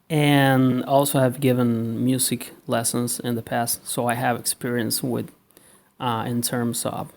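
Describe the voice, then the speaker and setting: Slow halting Argentine man with gravelly voice. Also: medium pitch, a little mellow. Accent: Argentine Spanish. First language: Spanish. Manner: halting, many fillers and restarts, tag "okay?". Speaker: language teacher. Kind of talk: self-introduction to online lessons.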